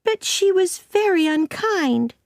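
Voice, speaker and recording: Little orphan girl for a storybook, super high voice, excitable and fiery, emphatic. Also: slow, no echo, clean studio sound, full character voice. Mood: defiant.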